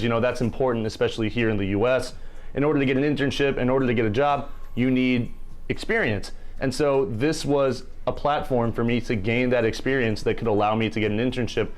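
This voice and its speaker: A young man giving a casual, explanatory answer in an interview. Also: medium pitch. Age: young adult. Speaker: student media member.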